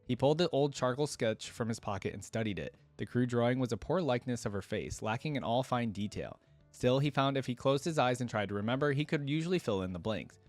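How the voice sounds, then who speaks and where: A man's voice: mid-range voice, lively intonation, fast talker, dry recording, soft background music. A man hosting a reaction video, reading a story aloud and commenting casually as he goes.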